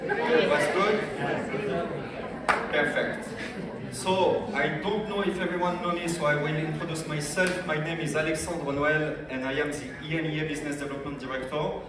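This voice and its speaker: A man from France with a strong French accent gives a lively business training talk at a convention, often asking the audience questions. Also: a little high, moderate pace, a crowd in the background. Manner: energetic, addresses audience directly with questions. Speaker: business development director. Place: large convention hall. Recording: echoey hall, speaker far from mic.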